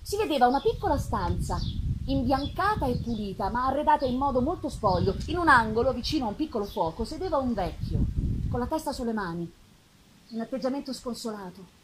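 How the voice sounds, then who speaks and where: A woman giving an expressive, dramatic literary reading with pauses and drawn-out phrases, performed live on stage. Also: on the high side, background noise. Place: live theatre festival performance. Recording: live music behind the voice. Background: live musical accompaniment.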